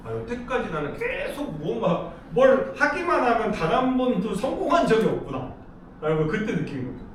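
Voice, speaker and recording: A man's voice: very high voice, normal speed, distant mic, a little hiss or hum. Man telling stories in an echoey hall. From Seoul, South Korea. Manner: chatty, lively storytelling with frequent laughs. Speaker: lecture speaker. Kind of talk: casual storytelling lecture. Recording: echoey hall, speaker off-mic.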